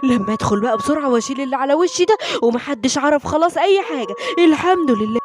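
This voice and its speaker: A woman's voice: high voice, expressive, fast talker, background noise, pitched below the performer's own voice. A woman voicing a sneaky, scheming cartoon father with a furtive, evasive manner. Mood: furtive, bossy. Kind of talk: children's story role-play.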